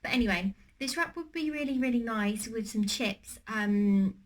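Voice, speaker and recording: A woman's voice: on the high side, expressive, moderate pace. A young woman with a slight cold, chatting casually in a cooking and eating vlog, rambling with many ums and restarts. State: has a cold, slightly wheezy. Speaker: vlogger.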